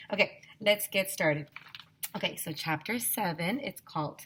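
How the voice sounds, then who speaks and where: Female teacher for children's story time, animated and expressive, taking her time, with a bright forceful voice. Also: medium pitch, measured pace, a little hiss or hum. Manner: expressive, addresses listening children directly. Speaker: teacher reading aloud to children.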